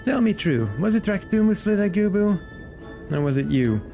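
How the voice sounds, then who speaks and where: A man voicing a gruff, suspicious, foul-mouthed chief from a game, scornful and challenging. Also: sits high, sing-song, moderate pace, mellow, soft background music, voice pushed up, exaggerated voice. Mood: suspicious, testing. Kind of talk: reading game dialogue aloud in character.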